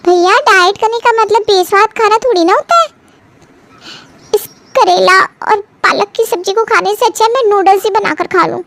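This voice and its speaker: A woman's voice: extremely high pitch, moderate pace, a bit bright, pitched above the performer's own voice. A woman voicing a picky, sarcastic young sister-in-law in a cartoon family story, pouty and exasperated.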